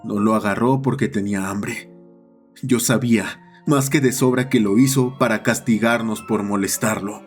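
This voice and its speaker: A man's voice: on the low side, fairly even intonation, moderate pace, faint music behind. A man reading a scripted horror story as its narrator.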